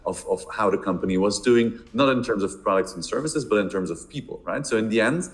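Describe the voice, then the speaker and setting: Man from Belgium, fairly low voice, halting speech, repeats words, interview guest. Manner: hesitant, many repeated words and fillers. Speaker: podcast guest, marketing director. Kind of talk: casual interview answers.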